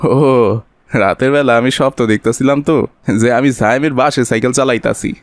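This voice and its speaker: Casting: male actor as sly neighbourhood bike thief, cartoon, Bangladeshi dialect, wheedling, mid-pitched, dry close sound. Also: brisk, no echo, lightly acted. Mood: confused, feigning innocence. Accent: colloquial Bangladeshi Bengali dialect. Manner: colloquial, excuse-making. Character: sly, wheedling petty bicycle thief, adult man. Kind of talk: cartoon voice acting.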